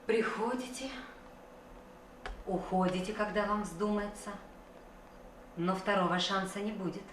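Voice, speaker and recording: An actress playing a stern female boss who speaks in a cool, measured way. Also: medium pitch, slight background noise, close to an everyday voice. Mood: cold. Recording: distant voice in echoey room.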